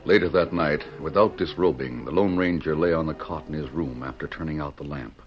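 Male narrator, very deep, solemn, suspenseful, classic radio drama, muffled recording, music underneath. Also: a little fast. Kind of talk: dramatic old-time radio scripted narration. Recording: dull vintage radio recording.

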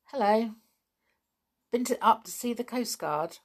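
A woman's voice: a little high, lively intonation, measured pace, pitched above the performer's own voice. A woman voicing a polite, inquisitive, secretive boy in a storybook reading.

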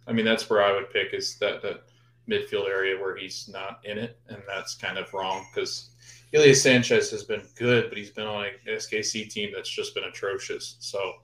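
An echoey room surrounds this man's slightly low voice. He co-hosts a sports podcast in a loose, rambling way, hedging and thinking aloud. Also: a bit bright. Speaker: soccer podcast co-host. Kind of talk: casual sports opinion chat. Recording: roomy, echoing sound.

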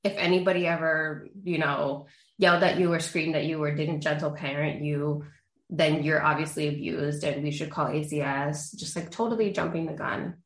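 A young woman originally from Honduras, with Spanish as her first language, talks candidly as a therapist guest on an interview show. Her voice sits a touch low, and the room around her rings with a clear echo. She tells her story in a loose, lively way, full of everyday fillers. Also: normal speed. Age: young adult. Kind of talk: casual interview chat. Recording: roomy echo.

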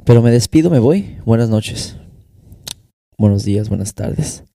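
A man's voice: fairly low pitch, lively intonation, a little slow, mellow, dry recording, clean studio sound. A young Mexican man with a Mexican Spanish accent and some American English, hosting his own podcast and talking loosely in slang, switching between Spanish and English. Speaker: podcast host. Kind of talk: casual solo podcast monologue. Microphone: close podcast microphone.

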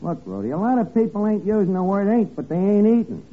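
A man's voice: sits high, big pitch swings, fast. An actor playing a bossy, slangy, scheming tavern man in old radio comedy, wisecracking and mangling his grammar. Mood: bossy, self-important. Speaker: comic lead of a radio sitcom. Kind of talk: 1940s-50s radio comedy acting. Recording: scratchy, noisy, narrow-band old radio recording.

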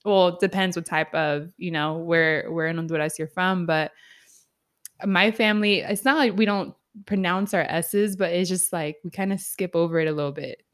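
A woman's voice: fairly low pitch, moderate pace. A woman hosting an interview, talking in a chatty, laughing way with many fillers. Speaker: podcast host. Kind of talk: casual interview conversation.

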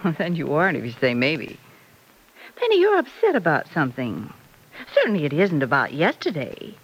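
A middle-aged mother in a vintage radio serial. She is concerned and affectionate, coaxing gently in a slightly low voice that rises and falls expressively. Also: wildly swooping pitch, normal speed, breathy edge, exaggerated voice, low-quality recording. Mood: concerned, probing. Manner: coaxing, questioning, tender endearments. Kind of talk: mid-century radio soap acting.

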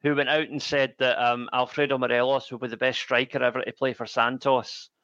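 A man co-hosting a casual football podcast, talking in a measured way with many ums. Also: mid-range voice, level tone, fairly bright tone, dry recording, lo-fi sound. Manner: measured, filler-heavy with frequent ums. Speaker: podcast co-host.

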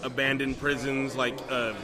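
A man's voice: mid-range voice, little pitch movement, normal speed. A man chatting casually on a podcast, describing things in a loose conversational way. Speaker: podcast co-host. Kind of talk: casual chat, describing video games. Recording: busy crowd noise around the voice.

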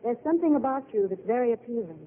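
A woman's voice: on the high side, expressive, rapid-fire, natural voice. A woman playing a dreamy, eager young diner waitress. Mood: earnest. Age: young adult. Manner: eager, earnest, outspoken. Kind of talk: 1930s radio drama acting. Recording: tinny old radio broadcast with faint hiss.

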